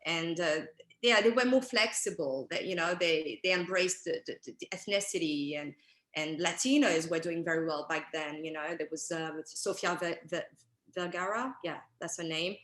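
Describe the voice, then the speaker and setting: French-accented actress, echoey room. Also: female voice, fairly low pitch, normal speed. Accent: French with British traces. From France. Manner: animated, chatty, lots of fillers and quoted dialogue. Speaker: actress and interview guest. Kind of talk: casual interview storytelling. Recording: roomy echo.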